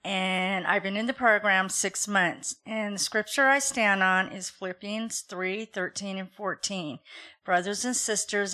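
Woman in her fifties from California introducing herself in a plain, measured, bright-toned voice. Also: mid-range voice. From California, USA. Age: 50s. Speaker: program member. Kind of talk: self-introduction and scripture.

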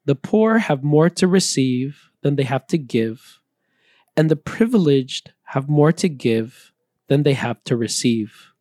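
A man reading a scripted, reflective personal talk in an earnest, measured way. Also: medium pitch, animated, warm tone, dry recording, studio quality. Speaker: missionary speaker.

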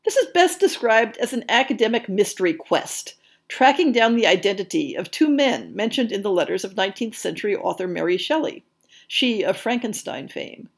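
A woman hosting a podcast, reading scripted announcements in an articulate, measured way with emphatic stresses. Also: medium pitch, expressive. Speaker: podcast host.

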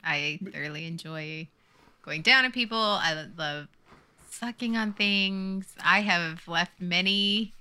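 A woman's voice: on the low side, slow, sharp tone, clean tone. A woman co-hosting a podcast in casual chat, with careful, proper diction and frequent laughter. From American South. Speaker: podcast co-host.

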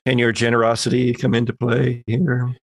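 A man's voice: medium pitch, monotone, normal speed, slightly rough, no echo. A man, narrating a tabletop horror roleplaying game as game master.

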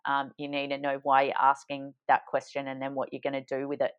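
A woman with a low, smooth, resonant voice summarizes an interview as a podcast co-host. She speaks thoughtfully and hesitantly, pausing on frequent ums and restarting her phrases. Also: completely flat delivery, muffled recording.

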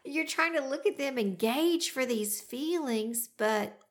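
A woman's voice: high-pitched, expressive, a little slow, slight background noise. A woman hosting a podcast in casual chat, chatty and laughing often. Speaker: podcast host.

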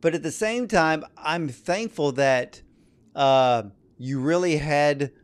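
A man's voice: a little high, slow pace, clear, resonant tone. A man hosting a conversational interview, speaking emphatically and addressing his listeners directly. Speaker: interview host.

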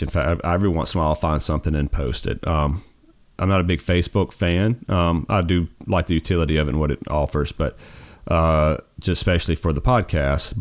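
A forty-year-old man co-hosting a gaming podcast in a rambling, easygoing casual chat, often tossing in "man". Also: sits low. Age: 40s. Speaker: podcast co-host.

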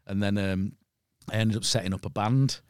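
A man's voice: fairly low pitch, fairly even intonation, normal speed, clean tone, dry recording, studio quality. A middle-aged man with a Mancunian accent, casually telling anecdotes in a blunt, sweary way as a podcast guest.

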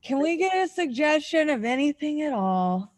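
Woman podcast host, higher voice, super casual and giggly, really dry close sound. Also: expressive, normal speed, no echo. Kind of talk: casual chat.

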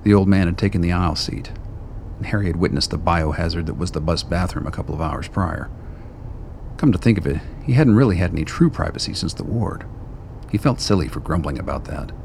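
A man narrating a scripted radio drama in a measured, wry storytelling manner. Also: deep voice, gravelly, darkish tone, slight background noise.

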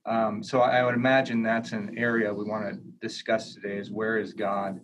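A man speaking as a pastor in an online panel discussion, thoughtful and measured, pausing often with fillers. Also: fairly low pitch, little pitch movement. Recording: noisy video call audio.

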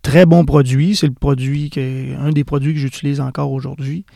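Gravelly-voiced Quebec French man, halting. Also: mid-range voice, steady tone, mellow, no echo. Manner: rambling, halting, many restarts. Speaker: podcast guest, tire repair specialist. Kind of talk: casual interview chat.

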